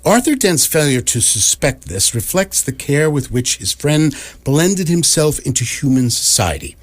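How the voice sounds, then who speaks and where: Animated male radio drama narrator. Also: a little high, unhurried. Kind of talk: scripted comic story narration for radio drama.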